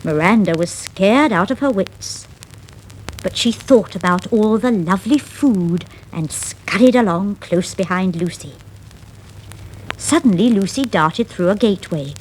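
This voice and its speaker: A woman storyteller reading a children's fable from a 1960s record. She is unhurried, with lively rise and fall and dramatic phrasing, over faint vinyl noise. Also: mid-range voice, poor audio. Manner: dramatic, expressive, deliberate phrasing. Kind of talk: scripted children's fable narration.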